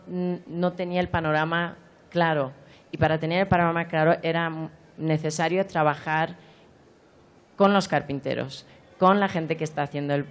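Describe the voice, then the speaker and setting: A woman in her forties from Iran, a curator answering on a panel in a large hall, speaking into a handheld microphone with frequent restarts and fillers. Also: deep voice. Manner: thoughtful, many restarts and fillers. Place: large hall at an art fair.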